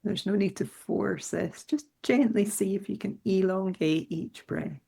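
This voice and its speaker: A woman leading a guided meditation in a soothing, unhurried manner with gentle instructions. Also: mid-range voice, creaky, breathy edge.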